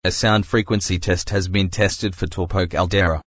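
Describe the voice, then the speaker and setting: A man's voice: sits low, fairly even intonation, normal speed, fairly bright tone. A man giving a scripted emergency broadcast announcement in a formal, impersonal, synthetic-sounding way.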